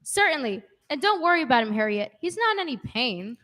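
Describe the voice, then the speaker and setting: Composed, wry schoolteacher played by a woman, high voice, polite and articulate, radio comedy. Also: sing-song, brisk, bright, forceful tone, exaggerated voice. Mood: reassuring. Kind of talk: old-time radio comedy acting.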